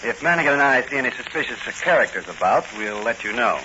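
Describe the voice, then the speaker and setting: Man playing a cool teasing cop hero, animated pitch, 1940s radio drama, noisy muffled recording. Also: fast, lightly acted. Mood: wry, probing. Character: cool, wry rookie patrolman, secretly a masked vigilante.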